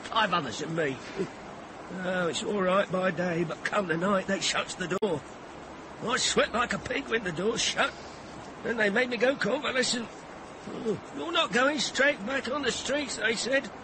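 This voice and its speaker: A garrulous old tramp in a radio play, high-voiced and chirpy. He talks in coarse, jokey colloquialisms, with clear street noise around him. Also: a little slow. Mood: chatty, amused. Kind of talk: radio drama acting. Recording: noisy outdoor street ambience.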